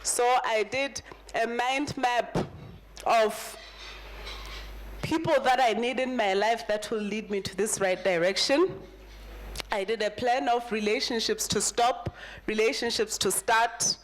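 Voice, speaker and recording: A woman's voice: fairly high pitch, steady tone, unhurried, slight background noise, low-quality recording. A woman in her thirties giving a motivational keynote talk, telling her own story and repeating phrases for emphasis. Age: 30s. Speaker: keynote speaker at a summit.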